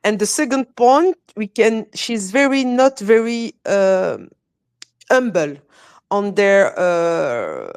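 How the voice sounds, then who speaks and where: A native French-speaking woman with a French accent, talking earnestly and casually as a community ambassador in halting, non-native phrasing. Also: medium pitch, big pitch swings, clean tone, dry recording, studio quality. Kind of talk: casual, unscripted talk.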